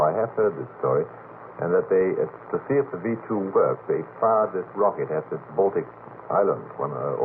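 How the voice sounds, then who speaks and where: The recording is narrow and thin like old radio tape, with a light hiss. A middle-aged man with a very low voice talks in a late-night panel discussion. He feels his way through long, polite, searching sentences. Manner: hesitant, courteous, wordy, searching. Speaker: radio panelist putting questions. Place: radio station studio.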